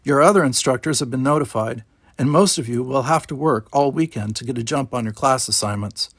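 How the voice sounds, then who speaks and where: A man voicing a brisk, commanding female academy instructor who gives firm, clipped orders. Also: mid-range voice, dry recording, clean studio sound, lightly acted. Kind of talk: novel read-aloud character voice.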